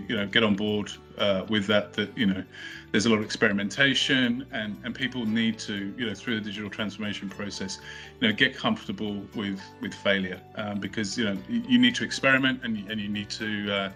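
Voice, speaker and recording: A middle-aged man with a bright, forceful voice speaks at a fairly low pitch. He answers in a casual, halting way, full of ums and restarts. Soft guitar music plays under him. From not from Australia, studied in the UK. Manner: hesitant, many fillers and restarts. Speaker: company co-founder, podcast guest.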